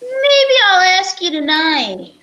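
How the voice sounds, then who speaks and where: A woman playing a slow-talking male police inspector, a coaxing and theatrical suitor in an old-time radio show. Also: very high voice, wildly swooping pitch, pushed and bright, lo-fi sound, full character voice.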